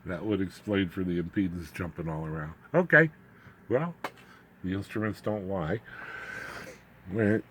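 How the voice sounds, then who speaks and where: A man giving an informal, unscripted hands-on demonstration as a hobbyist, haltingly, muttering asides to himself as he works. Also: sits low, animated, a bit bright. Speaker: hobbyist radio builder demonstrating his equipment. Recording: clear background noise, room ambience.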